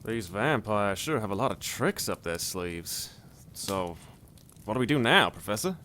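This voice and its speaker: A brash, folksy adventurer, played by a man in audio drama, bold and plain-spoken. His lowish voice is hard-edged and forceful, with faint noise behind. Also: very animated, measured pace, exaggerated voice. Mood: resolute.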